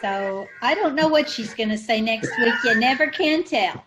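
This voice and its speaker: A woman with a fairly high voice chats over a video call after the show. She is playful and joking as she trades lines with the host. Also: expressive, normal speed, over loud music, rough recording. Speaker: cast member. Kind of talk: casual chat after a performance.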